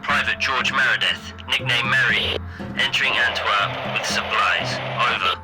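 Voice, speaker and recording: British army radio voice, mid-pitched, clipped announcements, formal, radio filter, very loud music behind, audio play. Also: male voice, unhurried, poor audio. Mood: brisk, official.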